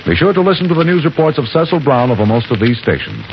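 A man's voice: medium pitch, sing-song, fast. An American man announcing formally in 1950s network radio style. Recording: muffled, noisy 1950s radio recording.

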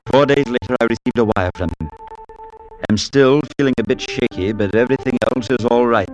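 An actor playing a concerned, steadfast clergyman friend in an earnest, measured, dramatic 1940s radio drama style. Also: mid-range voice, natural voice, low-quality recording. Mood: grave, measured. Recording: vintage 1940s radio broadcast with music.

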